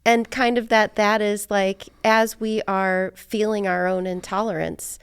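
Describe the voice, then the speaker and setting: Creaky-voiced woman chatting candidly, close and dry. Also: mid-range voice, fairly even intonation, a little slow, no room sound. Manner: candid, rambling, frequent "like". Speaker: podcast host. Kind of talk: casual personal chat.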